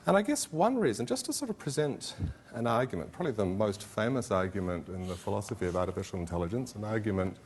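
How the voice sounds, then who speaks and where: A man's voice: on the low side, lively intonation, fast talker, a little hiss or hum. A middle-aged man giving a philosophy conference talk, conversational and hedging, with many fillers and restarts. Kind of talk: academic conference presentation.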